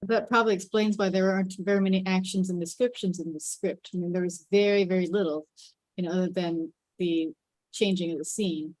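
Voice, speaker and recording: A woman's voice: lowish voice, steady tone, fairly quick, darkish tone, dry recording, low-quality recording. A woman giving her view in a casual group discussion. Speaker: discussion participant.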